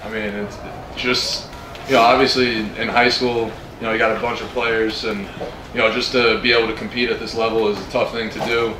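A man's voice: mid-range voice, flat delivery, brisk. A man in his early twenties, a college football player giving earnest answers at a press conference into a handheld mic. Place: press tent with ambient noise. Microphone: handheld microphone. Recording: roomy, distant handheld mic, clear background noise.

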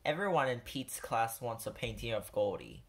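Low-voiced woman reading a storybook, lively pitch. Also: slightly rough. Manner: expressive, deliberate delivery for young children. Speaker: picture book reader for children. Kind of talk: storybook read-aloud.

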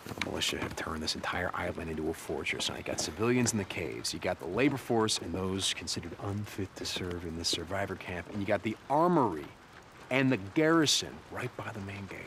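An actor playing a rugged, defiant biker survivor in his thirties in a video game, with a gruff voice. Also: sits low, expressive, fairly quick, fairly bright tone, a little hiss or hum. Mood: grim, determined. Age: 30s. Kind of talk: dramatic video game cutscene acting.

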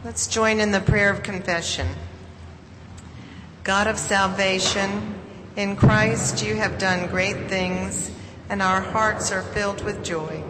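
A woman leading a congregation through a prayer of confession in a measured reading. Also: medium pitch, steady tone. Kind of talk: slow unison prayer reading. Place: church sanctuary. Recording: church hall reverb with loud room noise.

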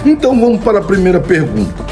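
A man's voice: high-pitched, huge pitch swings, quick pace, over music. A middle-aged man with a Brazilian Portuguese accent, chatting casually into his phone as a comedy creator. He answers viewer questions, laughs at his own jokes and keeps asking "né?". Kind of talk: casual Q&A monologue. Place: home room, indoors. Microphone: old phone camera, close framing.

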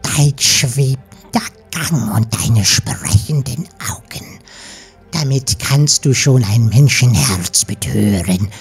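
A woman playing a sinister, scheming sea witch in a fairy-tale audiobook, with a hoarse, breathy whisper and slow, ominous delivery. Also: very deep voice, expressive, full character voice. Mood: gloating, menacing.

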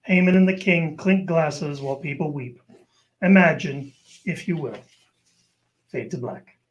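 A man's voice: fairly high pitch, unhurried, lo-fi sound. A man narrating a scripted old-time radio show, setting the scenes.

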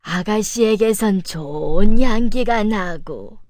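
A woman playing a poor, humble elderly mother in a children's folktale, speaking in a slow, halting, wary way. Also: medium pitch, darkish tone, a little hiss or hum, close to an everyday voice. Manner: slow, halting old-person speech. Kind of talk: children's animated folktale acting.

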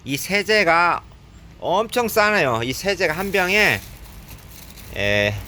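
A man with a cold, chatting casually as he films himself shopping in a convenience store, filling pauses with "yes". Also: sits high, lively intonation, pushed and bright. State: has a cold. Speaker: vlogger. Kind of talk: casual running commentary while shopping.

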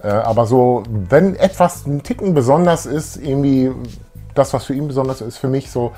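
A man's voice: medium pitch, moderate pace, dark tone, faint music behind. A middle-aged man talking as a guest in a casual fan chat, colloquial and jokey. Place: small room full of posters and collectibles, on a couch.

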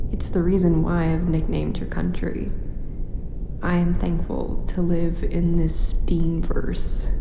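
An actress playing a haunted, searching immigrant woman, narrating analog horror in a solemn, grave, measured way with echo and music. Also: fairly low pitch, vocal fry, noisy background. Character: haunted, searching immigrant woman fascinated by her adopted country. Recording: echoey, music under the voice.

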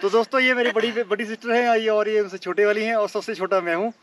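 A joking, chatty man talks to his camera on a forested hillside, his voice sitting fairly high for a man. He addresses viewers as friends in a relaxed, casual vlog. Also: fast talker, a crowd in the background. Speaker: travel vlogger. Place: outdoors on a forested mountainside.

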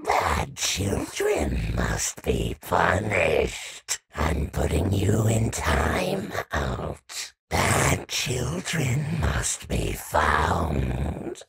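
A man voicing a sinister, taunting moon jester robot in a horror video game, with a raspy, menacing growl. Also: huge pitch swings, drawn-out words, fairly bright tone, exaggerated voice. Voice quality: deep, gravelly, raspy growl.

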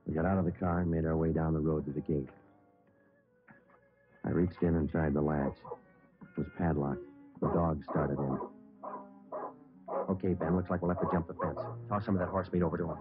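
An American actor playing a terse, matter-of-fact police detective who also narrates, in 1950s radio drama style. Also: male voice, rumbling low, normal speed, pauses often. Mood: brisk, urgent. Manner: deadpan, clipped, factual. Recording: narrow-band vintage radio, music behind.

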